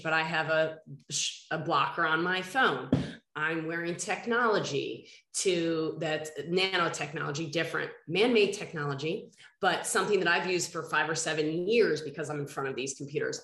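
A woman's voice: low voice, normal speed, a bit bright, roomy sound. Nasal, congested woman host. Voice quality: a little nasal. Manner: earnest, rambling, restarts sentences. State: congested from a head cold. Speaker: podcast host. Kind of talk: casual interview chat.

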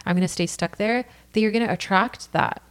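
A woman's voice: mid-range voice, fairly quick. A woman from California, a podcast guest telling her personal story in an earnest, casual way, full of "like" and "you know.".

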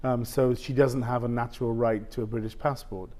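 A man in his fifties, a guest telling a personal story in casual conversation, halting and full of restarts. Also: medium pitch, fairly even intonation, a little mellow. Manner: halting, with many restarts and "basically". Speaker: interview guest.

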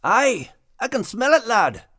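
A man voicing a brave, adventurous dog character with a Scottish accent and an animated delivery. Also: very high-pitched, measured pace, no echo, clean studio sound, exaggerated voice. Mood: hearty.

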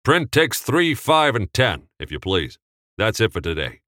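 A man voicing a commanding male film director who shouts orders on set. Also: fairly low pitch, very animated, unhurried, no room sound, studio quality, pitched above the performer's own voice, full character voice. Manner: barking, emphatic.

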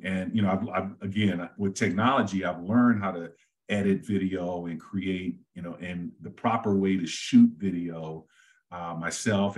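A middle-aged man, a real estate agent, talking at length and easygoing in a casual interview, with a deep voice he says suits radio. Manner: easygoing, talks at length with many fillers and restarts.

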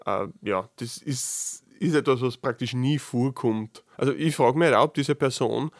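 A man's voice: medium pitch, normal speed, no room sound. A man speaking in Austrian dialect, hosting a casual solo podcast, thinking aloud with frequent restarts and dry, sarcastic asides. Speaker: podcast host.

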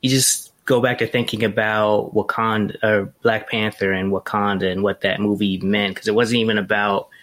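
A man hosting a podcast interview, speaking in a relaxed, conversational way with frequent fillers. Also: fairly low pitch. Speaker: podcast host.

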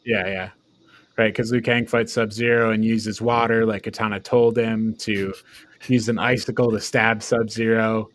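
A man hosting a podcast, casually recapping and discussing a film in a halting way that tends to trail off. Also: on the low side, little pitch movement, no room sound. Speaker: podcast host.

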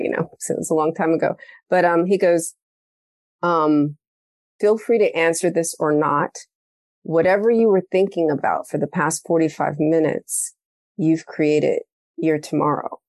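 A woman hosting a podcast, telling a story in a casual, animated way and acting out the quoted lines. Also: low voice, normal speed, creaky, no echo. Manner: animated storytelling, acts out quoted dialogue, laughs often. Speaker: podcast host. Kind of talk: casual conversational interview.

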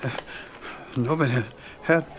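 A man playing a humble, nervous young newcomer with a Southern US accent, speaking in a shy, halting, polite way in a radio drama. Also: mid-range voice, a little hiss or hum, natural voice. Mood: shy, grateful.

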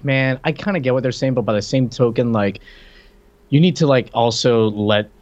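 A man's voice: medium pitch, moderate pace, darkish tone. Dull-sounding casual male podcaster. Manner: conversational, repeats words while forming points. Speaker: podcast co-host. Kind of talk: casual film commentary.

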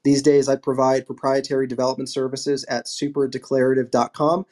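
A man hosting a casual online discussion panel, wrapping up and thanking speakers in a relaxed, rambling way. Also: medium pitch, brisk. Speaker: host.

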